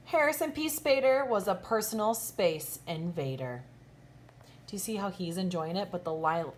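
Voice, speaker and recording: Expressive woman teacher reading a storybook aloud. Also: medium pitch. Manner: emphatic, pauses to question young listeners. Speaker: kindergarten teacher reading a picture book aloud. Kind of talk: expressive children's storytime read-aloud with asides.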